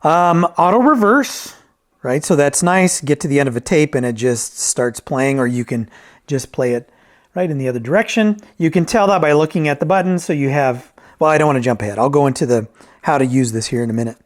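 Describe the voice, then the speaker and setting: A man's voice: on the high side, fairly quick. A man talking casually through an unscripted product review, rambling and correcting himself, often checking in with "right?". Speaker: reviewer and repairer of vintage tape decks.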